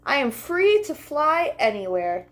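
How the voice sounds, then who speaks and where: A woman's voice: sits high, sing-song, unhurried, pitched above the performer's own voice. A woman voicing a carefree, independent young male butterfly in a children's storybook, breezy and self-assured.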